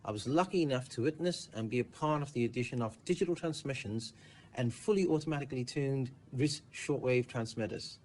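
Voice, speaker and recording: Mid-pitched man reading a message. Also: brisk, a little mellow, rough recording. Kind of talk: scripted congratulatory message.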